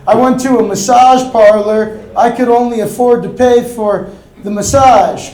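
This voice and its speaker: Guy doing standup, really high voice, deadpan asides, echoey club w/ crowd laughing. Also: a little slow. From Miami, Florida. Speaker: stand-up comedian. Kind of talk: stand-up set. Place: comedy club stage. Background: audience laughter.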